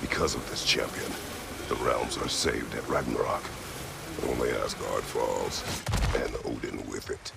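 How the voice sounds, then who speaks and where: An actor playing a grim, battle-weary warrior father in a video game, speaking tersely in measured, solemn, formal phrases with a very deep, gravelly voice. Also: very noisy, full character voice. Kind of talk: dramatic video game acting. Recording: music under the voice.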